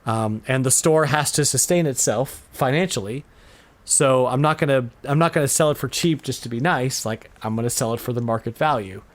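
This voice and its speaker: A man's voice: medium pitch, brisk. A middle-aged man chatting casually as the host of a podcast. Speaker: podcast host and retro game store owner.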